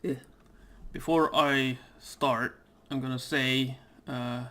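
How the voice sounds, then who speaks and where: A man's voice: medium pitch, steady tone, takes it slowly, bright, forceful tone. Rambling man with a scratchy throat. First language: Dutch. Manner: rambling and hesitant, many fillers. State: throat irritated, clearing it. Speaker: gaming YouTuber. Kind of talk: casual let's play commentary. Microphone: desk microphone.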